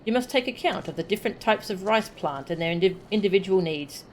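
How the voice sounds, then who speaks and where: A woman's voice: medium pitch, animated, fast talker, a little hiss or hum, natural voice. A woman voicing a rice farmer who carefully explains how to tend the plants. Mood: instructive.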